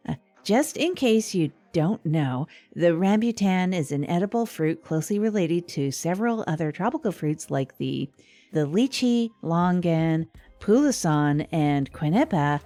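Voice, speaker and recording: Woman acting comedy radio host, female, mellow, earnest, halting, confiding, faint music. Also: lowish voice. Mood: chatty announcing. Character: earnest, rambling woman radio host, self-help minded failed marketer. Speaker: podcast host character. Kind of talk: scripted comedy radio-show monologue.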